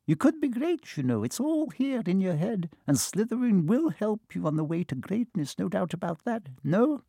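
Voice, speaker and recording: Old magic talking hat done by a guy, higher and slow, sly and thoughtful, dry sound. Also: sing-song, creaky, mellow, dry recording, exaggerated voice. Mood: coaxing. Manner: slow, musing, drawn-out.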